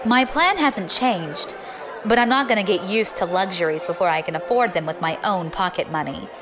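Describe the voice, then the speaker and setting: A woman's voice: mid-range voice, moderate pace, a crowd in the background, lightly acted. A woman playing a defiant, ambitious young adventurer in an audio drama, wry and guarded in manner.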